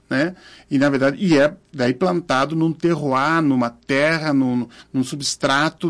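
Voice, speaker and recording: A man in his fifties with a southern Brazilian accent, answering interview questions earnestly and haltingly, repeating words as he searches for phrasing. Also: fairly high pitch. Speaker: interview guest, organic farmer.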